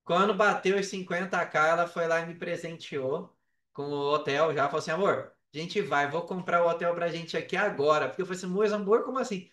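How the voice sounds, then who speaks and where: Echoey, distant Brazilian man, higher-pitched voice. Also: brisk, a bit bright. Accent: Brazilian Portuguese. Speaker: podcast guest, affiliate marketer. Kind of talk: casual personal storytelling in an interview. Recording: echoey room, distant from the mic.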